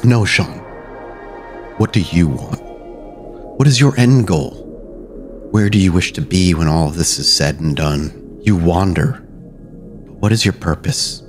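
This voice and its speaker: Man as undead vampire sire, low-pitched, mocking, grandiose, rhetorical needling, roleplay podcast, soft music underneath. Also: unhurried, pauses often. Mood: challenging. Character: dead vampire sire as mocking, goading mirror reflection. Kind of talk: tabletop roleplay acting.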